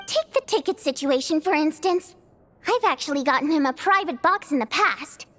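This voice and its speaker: A very high, lively young woman's voice for a video game heroine of the stage. Her delivery swings widely and expressively, with a theatrical, spirited and easily indignant temper. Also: full character voice. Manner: expressive, dramatic, complaining.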